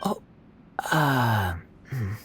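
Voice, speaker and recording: An actor playing a suave, teasing young cavalry captain in a fantasy video game, with a drawling, playfully polite manner. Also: male voice, mid-range voice, lively intonation, extremely slow, fairly bright tone, a little hiss or hum. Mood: reluctant, flustered. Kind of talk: video game cutscene voice acting.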